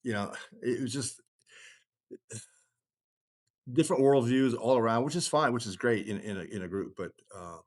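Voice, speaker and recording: A man talking casually as he tells stories and explains things, rambling and halting, often trailing off mid-thought. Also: mid-range voice, a little mellow. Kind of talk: casual conversational storytelling and explaining.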